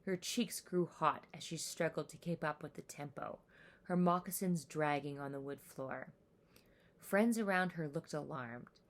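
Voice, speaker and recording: A woman reading a children's story aloud with animated, deliberate, expressive narration. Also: low-pitched.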